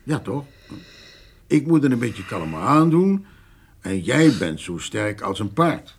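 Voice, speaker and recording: An actor playing an older farmer in a radio drama, jovial and scheming, with a hesitant, coaxing way of talking. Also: male voice, mid-range voice, very animated, unhurried, slightly rough, exaggerated voice. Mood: persuasive. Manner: hesitant, wheedling, full of small interjections. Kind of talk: naturalistic radio drama dialogue.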